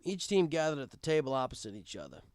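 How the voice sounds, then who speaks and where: A man's voice: mid-range voice, sing-song, quick pace, no echo, studio quality. A man reading a scripted first-person story as an audiobook narrator, with dramatic emphasis. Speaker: audiobook reader.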